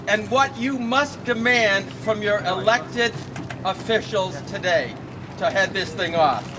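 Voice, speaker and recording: A man giving a blunt, fact-packed speech at an outdoor rally without a microphone, stumbling over fillers and restarts. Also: extremely high pitch. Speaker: rally speaker. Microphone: no working microphone, recorded from a distance. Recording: outdoor rally with loud traffic noise.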